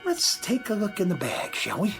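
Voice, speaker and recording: A haughty, accusing store owner in a vintage radio drama. His raised, highly animated voice delivers ornate sarcasm over light music. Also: normal speed, vocal fry, exaggerated voice. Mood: indignant, scornful. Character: pompous, sneering, accusatory male store owner.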